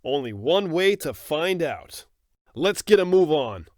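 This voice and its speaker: A woman's voice: low voice, sing-song, unhurried, darkish tone. A woman playing a brash young male echidna adventurer in an audio drama, blunt, confident and teasing. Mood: teasing, brisk. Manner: blunt, cocky. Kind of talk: fan audio drama acting.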